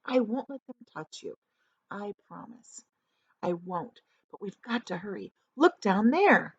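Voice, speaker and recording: A woman's voice: medium pitch, lively intonation, measured pace, slightly rough, muffled recording. A woman voicing a brave young boy who leads his friends with urgent orders in a storybook. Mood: reassuring.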